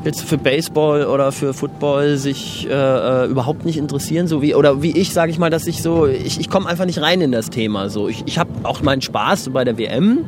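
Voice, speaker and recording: A fairly high-voiced man asks curious, rambling questions, often restarting himself. He sits in a moving car with clear road noise all around. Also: a little fast, few pauses. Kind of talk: casual chat. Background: road and traffic noise.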